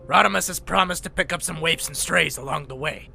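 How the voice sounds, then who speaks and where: A man's voice: a little high, brisk, gravelly, pushed and bright, a little hiss or hum, full character voice. A man voicing an aging robot medic in a motion comic, reflective and persuasive. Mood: wistful, persuasive. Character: aging male robot medic planning a swan song.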